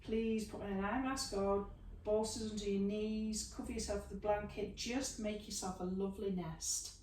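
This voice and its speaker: A woman teaching a yoga class, giving slow, soothing guidance through stretches and a guided relaxation. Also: medium pitch, steady tone, darkish tone. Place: room, recorded at a distance from the camera mic. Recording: echoey room, mic at a distance, faint hiss.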